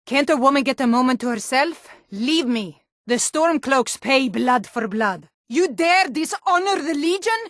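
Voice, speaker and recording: Fantasy game Nord townswoman: high-pitched, blunt, suspicious, hot-tempered, emphatic delivery, dry studio sound. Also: animated, a little slow, few pauses, fairly bright tone, no room sound. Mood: hostile. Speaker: game character voice. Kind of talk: video game voice lines.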